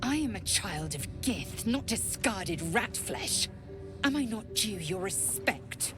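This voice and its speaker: A woman's voice: medium pitch, big pitch swings, slow, music in the background. A woman voicing a proud, devout young alien warrior, clipped, formal and zealous in a fantasy game. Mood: commanding.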